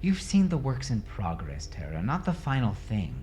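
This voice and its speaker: A man's voice: mid-range voice, wildly swooping pitch, normal speed, warm tone, a little hiss or hum, voice pushed up. A man playing an urgent, exasperated father who pleads to save his son in an audiobook drama. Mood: urgent, pleading. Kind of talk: dramatic audiobook character dialogue.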